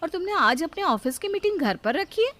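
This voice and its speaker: A woman's voice: very high-pitched, very animated, brisk, a bit bright, pitched above the performer's own voice, exaggerated voice. A woman voicing a caring, self-sacrificing elder sister-in-law in a story, pleading and conciliatory. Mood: concerned.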